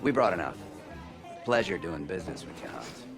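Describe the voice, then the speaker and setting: An actor playing a tough, curt American covert operative in a military video game. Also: male voice, low voice, expressive, moderate pace. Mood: dry, confident. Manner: curt, commanding. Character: tough, commanding American male covert operative, coolly menacing. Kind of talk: cinematic military game acting. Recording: loud music and vehicle noise behind.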